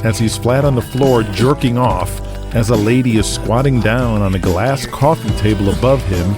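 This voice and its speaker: A man's voice: medium pitch, normal speed, over music, natural voice. A man narrating a gritty, crude wrestling audio drama in a scripted storytelling style.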